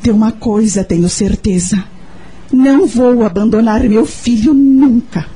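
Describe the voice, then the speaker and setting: An emotional, very husky young mother. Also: a little high, unhurried, dark tone. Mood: earnest, determined. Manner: emotional, earnest. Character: anguished, devoted young mother abandoned by her husband. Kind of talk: radio soap opera acting.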